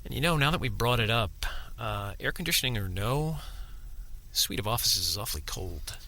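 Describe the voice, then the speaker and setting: A man's voice: on the low side, expressive, normal speed, sharp tone. A man playing a dry, matter-of-fact, cautious investigator in an audio drama. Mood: wary. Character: male knighted corporate investigator, dry, dutiful and cautious.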